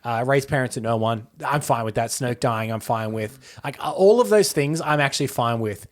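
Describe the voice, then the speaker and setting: A man co-hosting a podcast, chatting casually about films in a conversational, measured but opinionated way. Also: mid-range voice. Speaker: podcast co-host. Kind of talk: casual film discussion.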